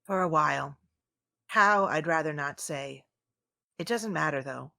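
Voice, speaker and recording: A woman acts a guarded, loyal fighter in an audio drama. She speaks low, with a gravelly edge and dry, close sound, in blunt clipped phrases. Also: unhurried, pushed and bright, no echo, full character voice. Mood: terse, guarded.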